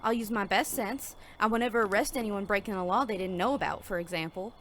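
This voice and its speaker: A woman's voice: mid-range voice, fast talker, slight background noise, natural voice. A woman playing an earnest, naive young sheriff's deputy, hesitant and dutiful, in a Western audio drama. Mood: flustered, earnest.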